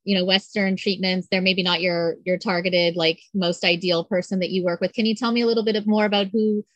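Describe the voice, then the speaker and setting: A woman's voice: fairly low pitch, fairly even intonation, fairly quick, no room sound, lo-fi sound. A woman hosting a podcast who asks loose, rambling questions in a chatty, laughing way. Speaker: podcast host. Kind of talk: casual interview chat.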